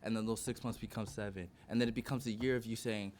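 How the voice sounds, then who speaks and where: A man's voice: on the low side, fairly even intonation, quick pace, darkish tone, slight background noise. A man co-hosting a podcast in a casual debate, insistent, often restarting as he reworks his point. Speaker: podcast co-host.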